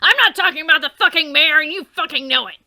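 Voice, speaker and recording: A woman voicing a furious shouting male troll youth who rants in an overwrought way. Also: high voice, fast, voice pushed up, exaggerated voice. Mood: indignant. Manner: ranting, bellowing, overwrought.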